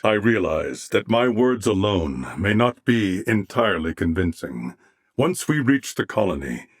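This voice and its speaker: A man's voice: on the low side, no room sound, studio quality. A man voicing a noble, solemn alien warrior in a video game, speaking formally and courteously. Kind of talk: video game character voice acting.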